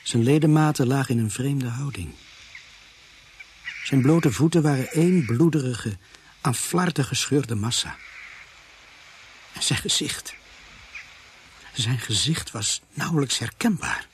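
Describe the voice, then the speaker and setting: A grave, sombre storyteller played by a man in a radio play. He speaks slowly and deliberately, with noticeable background noise and birds behind him. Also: medium pitch, natural voice. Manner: measured, sombre. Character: grave male narrator recalling a police case. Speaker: actor narrating a radio play. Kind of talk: scripted first-person narration in radio drama.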